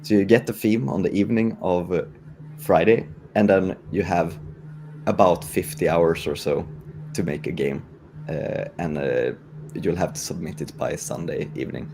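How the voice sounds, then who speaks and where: A man's voice: low voice, normal speed, dark tone. A man with a Swedish accent, chatting casually on a panel as a guest developer. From Sweden. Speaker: guest developer promoting a game jam. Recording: faint background noise.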